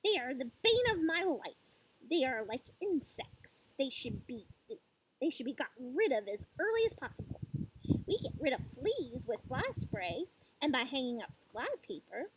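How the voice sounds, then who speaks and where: A woman's voice: high voice, big pitch swings, moderate pace, slight background noise, rough recording. A woman voicing a furious, tyrannical headmistress who loathes children, haranguing and menacing, in a storybook reading. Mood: gloating disgust. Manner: haranguing, barking, grandly menacing.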